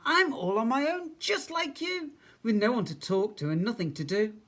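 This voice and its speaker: A woman voicing a small, shy, excitable little boy in a children's storybook, with a squeaky, childlike voice. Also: normal speed. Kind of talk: children's storybook read-aloud.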